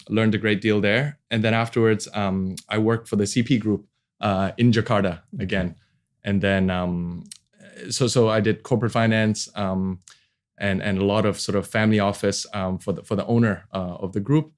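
A man's voice: fairly low pitch, fairly even intonation, moderate pace. A man in his forties from Hong Kong, talking casually as an interview guest about his career, with hesitant phrasing. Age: 40s. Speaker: podcast guest, venture capital founder.

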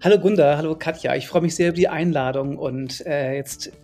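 A man's voice: a little high, a little fast, a little mellow, faint music behind. A man in his forties from Hamburg, a guest author in a podcast interview, speaking reflectively and hesitantly with many fillers. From Hamburg, Germany. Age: 40s.

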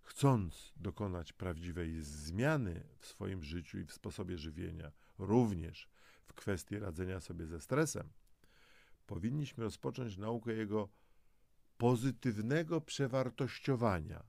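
A man presenting educational health material in a measured, explanatory way, mixing scripted narration with conversational asides to the listener. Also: sits low, animated, creaky, a little mellow, no room sound, clean studio sound. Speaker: presenter of a health audio programme.

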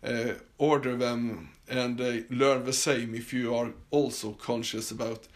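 A man's voice: medium pitch, steady tone, a little slow. A man from Sweden with a Swedish accent, hosting a casual solo podcast from his summer house, talking with many fillers and restarts. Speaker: podcast host.

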